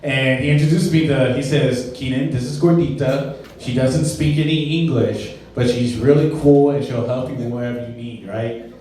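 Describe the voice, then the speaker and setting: A man's voice: medium pitch, normal speed. Man doing stand-up in an echoey club. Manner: animated storytelling with put-on voices for friends and coworkers. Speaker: stand-up comedian. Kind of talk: stand-up comedy set. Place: comedy club stage. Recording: roomy, distant mic in a club.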